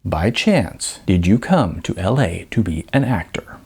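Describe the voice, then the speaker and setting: A man's voice: low voice, animated, unhurried, creaky, darkish tone, breathy edge, heavily acted. A man voicing a blunt, world-weary restaurant manager in an audiobook, explaining things frankly and emphatically. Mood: blunt, patient.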